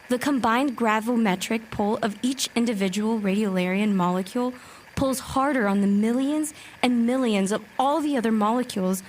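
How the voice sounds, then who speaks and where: A woman's voice: on the high side, far from the mic, a little hiss or hum, close to an everyday voice. A woman playing a sassy, impatient, bossy sci-fi scientist in a fan audio drama, with a pedantic way of talking. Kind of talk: acted dialogue in fan fiction audio drama.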